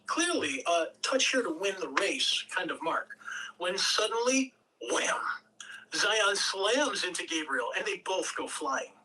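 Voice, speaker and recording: A woman's voice: medium pitch, pushed and bright, recorded from a distance, slight background noise, low-quality recording. A woman reading stage directions as scripted narration at a table read.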